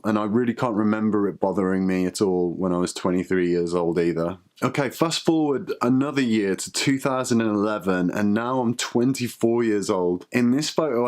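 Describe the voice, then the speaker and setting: A man talks to camera in a personal video diary. His voice sits somewhat low, and his delivery is candid and reflective, with occasional halting restarts. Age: 30s. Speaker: vlogger.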